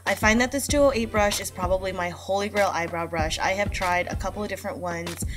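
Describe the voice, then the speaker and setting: Young woman, blocked-up nose, chatty slangy makeup talk, mid pitch, little bit of music underneath. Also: quick pace. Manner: chatty, slangy, full of fillers and asides. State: stuffy nose from a cold. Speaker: beauty vlogger and makeup artist. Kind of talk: casual chatty makeup tutorial.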